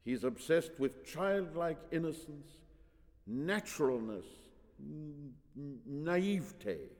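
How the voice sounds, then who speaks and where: Storybook donkey driver, done by a man: wheedling, scheming father, ingratiating, animated intonation, long reverb. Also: a little high, slow pace, voice pushed up.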